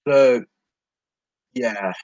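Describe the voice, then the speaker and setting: A tired sixteen-year-old boy rambling casually to his viewers, halting, repeating himself and trailing off mid-sentence. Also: mid-range voice, a little mellow, dry recording, dull audio. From United Kingdom. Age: 16, teenager. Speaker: video creator talking to subscribers.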